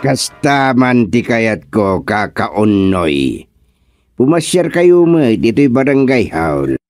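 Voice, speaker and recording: Comic village grumbler, Ilocano accent, slightly low voice with big pitch swings, exclamatory muttering, radio comedy. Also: male voice, very animated, slow, a little mellow, no echo. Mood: chatty.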